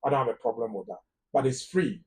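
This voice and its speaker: A man's voice: medium pitch, quick pace, warm tone, distant mic. A man with a Ghanaian English accent giving immigration advice on a live phone-in talk show, emphatic and repeating words for stress. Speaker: immigration lawyer guest.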